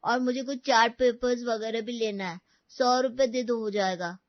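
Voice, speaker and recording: A woman's voice: fairly high pitch, steady tone, normal speed, no echo, dull audio, close to an everyday voice. A woman voicing a schoolchild who complains and pleads in a family story. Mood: pleading. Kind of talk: dramatised dialogue in an audio story.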